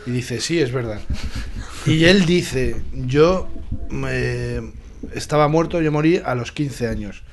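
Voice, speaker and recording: A man with a Spain accent speaks in a medium-pitched voice. He discusses cinema in a casual, opinionated way, stressing his points emphatically. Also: slow, a little hiss or hum. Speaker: film discussion panelist.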